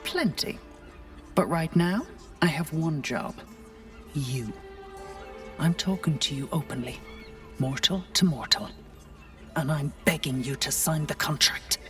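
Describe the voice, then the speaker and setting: A woman's voice: low voice, unhurried, long pauses, distant mic, faint music behind. A woman voicing a devil's mortal assistant in a video game, brash, familiar and persuasive. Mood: earnest, urgent. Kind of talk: video game character acting.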